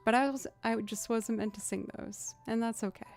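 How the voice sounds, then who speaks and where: A young woman with a low voice, chatting casually and rambling to her live stream audience. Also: moderate pace, darkish tone, faint music behind. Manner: chatty, rambling, self-deprecating. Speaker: streamer and musician.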